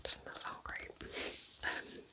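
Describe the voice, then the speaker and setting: A woman whispers breathily in a gentle, chatty ASMR roleplay as a kind witch at home in her cabin. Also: slow pace. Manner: gentle, chatty, informal asides.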